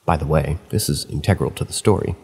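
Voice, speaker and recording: A man reading first-person stories aloud at a steady pace, slipping in dry asides of his own. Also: rumbling low, fast, husky, long reverb tail. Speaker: narrator of online horror stories.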